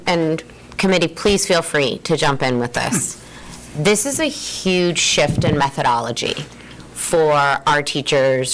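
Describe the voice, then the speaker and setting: Low-voiced woman explaining at a meeting. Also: fairly bright tone, rough recording. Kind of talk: board meeting presentation. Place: meeting room.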